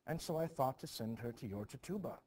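A man's voice: mid-range voice, expressive, a little fast, darkish tone, a little hiss or hum, lightly acted. A man reading the part of a grieving, superstitious village mother in a stage play, ominous and intense, in archaic phrasing.

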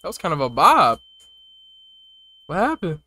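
A man's voice: a little high, huge pitch swings, measured pace, sharp tone, faint music behind. A young man giving casual, slangy reaction commentary into a close desktop microphone in a small room. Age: young adult. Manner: slangy, informal. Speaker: reaction video streamer. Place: small indoor room at a desk.

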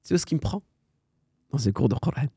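A man's voice: medium pitch, fast, breathy edge, dry recording, clean studio sound. A man in his thirties, a podcast guest, telling a personal story in a casual conversation, acting out the dialogue and mixing in Arabic phrases. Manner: animated storyteller, acts out quoted dialogue, sprinkles in Arabic phrases.

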